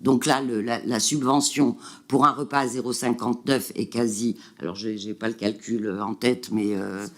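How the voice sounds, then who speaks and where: A man's voice: medium pitch, fairly even intonation, normal speed. A man presenting figures at a city council meeting, hesitant, with many fillers and restarts. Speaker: city councillor answering questions.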